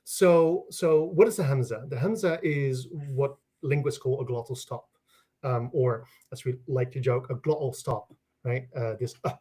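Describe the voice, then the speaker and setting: A man's voice: mid-range voice, a little slow, dark tone, breathy edge. A man from the Netherlands, a native Dutch speaker, explains as a guest scholar in an academic conversation, restarting phrases and checking in with "right?".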